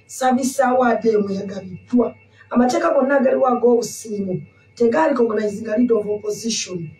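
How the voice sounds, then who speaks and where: A woman's voice: on the high side, fast talker, a little mellow, distant mic, slight background noise. A Ugandan woman in her thirties explaining points at length in a studio interview, mixing in English terms. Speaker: guest analyst. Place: indoor studio. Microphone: studio mic on stand. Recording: echoey room, voice off-mic.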